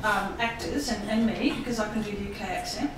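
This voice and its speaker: A woman with an Australian accent giving a lively public talk about voice work, laughing often and mimicking accents. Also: mid-range voice, a little fast, distant mic, background noise. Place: embassy hall. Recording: echoey hall audio from afar.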